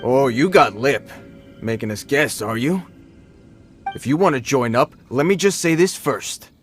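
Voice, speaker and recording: An actor playing a sneering bandit thug in a video game, mocking and slangy. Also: male voice, mid-range voice, unhurried, fairly bright tone, soft background music, close to an everyday voice. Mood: mocking, hostile.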